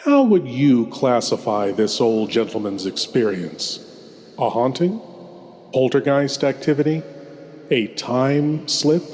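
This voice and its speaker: A man hosting a horror show with scripted, theatrical delivery and deliberate pauses. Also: medium pitch, expressive, a little mellow. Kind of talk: scripted horror host outro. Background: faint music.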